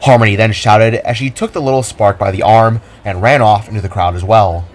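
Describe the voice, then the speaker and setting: A man's voice: on the low side, fairly even intonation, a little fast. A man reading scripted narration for an audio drama.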